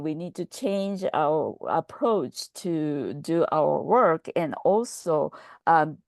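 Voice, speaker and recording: A woman's voice: deep voice, darkish tone, dry recording, studio quality. A woman speaking in a virtual panel discussion, hesitant, often repeating her words. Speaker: invited speaker.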